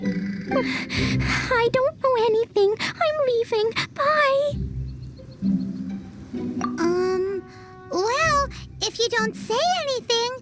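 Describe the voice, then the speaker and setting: A woman voicing a small, childlike, naive fairy companion with a squeaky voice in a video game. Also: extremely slow, long pauses, heavily acted. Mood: defensive. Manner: refers to herself in third person, simple exclamations. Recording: loud game music behind voice.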